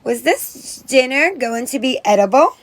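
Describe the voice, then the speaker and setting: A woman teacher reading a story aloud to children in an expressive narrating style, with dramatic emphasis. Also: high-pitched, a little slow. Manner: dramatic emphasis on exclamations and character lines.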